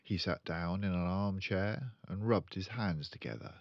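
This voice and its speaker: An older man reading a children's book aloud as a storyteller, with deliberate, expressive phrasing. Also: low-pitched, no room sound, muffled recording. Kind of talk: children's audiobook narration.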